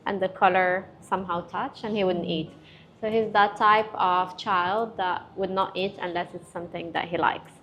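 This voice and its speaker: Animated Moroccan-born woman telling stories. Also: lowish voice, moderate pace, muffled recording, slight background noise. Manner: animated storyteller, emphatic repetitions. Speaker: guest entrepreneur. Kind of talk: casual panel chat.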